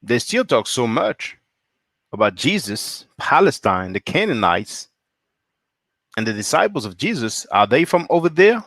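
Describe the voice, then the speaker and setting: A man's voice: mid-range voice, sing-song, measured pace, fairly bright tone, no room sound. Guy from france doing a solo live stream, answers chat, lots of rhetorical questions, dry sound.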